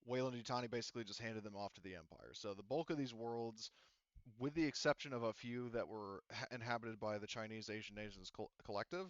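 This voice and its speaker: Man, game master, smooth resonant voice, dry dull lo-fi call audio, hesitant rambling. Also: lowish voice, a little fast, dry recording. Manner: rambling, hesitant, many fillers and restarts. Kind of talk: running a tabletop game over voice chat.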